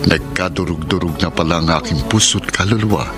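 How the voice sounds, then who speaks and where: An actor plays a lovelorn young Filipino man in a radio drama. His voice is low and solemn, with melodramatic, wounded phrasing. Loud music plays beneath him. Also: brisk, lightly acted. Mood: sorrowful.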